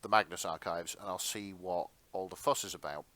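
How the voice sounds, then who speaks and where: A man's voice: low voice, a little fast, bright, forceful tone, dry recording. A man co-hosting a podcast in casual, jokey chat, with some casual swearing. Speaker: podcast co-host.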